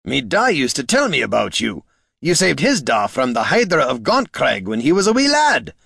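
A man voicing a gruff, blunt male warrior who grumbles in plain dialect. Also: mid-range voice, huge pitch swings, normal speed, fairly bright tone, dry recording, clean studio sound, pitched above the performer's own voice, heavily acted. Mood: grumbling.

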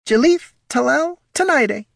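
A man's voice: extremely high pitch, animated, unhurried, vocal fry, fairly bright tone, dry recording, studio quality, pitched above the performer's own voice, heavily acted. A man voicing a small, foppish kobold in an audiobook, with a thin voice and an airy, patronizing, affected manner. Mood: airy, haughty. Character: small, snobbish, foppish male kobold courtier. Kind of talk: audiobook character voice.

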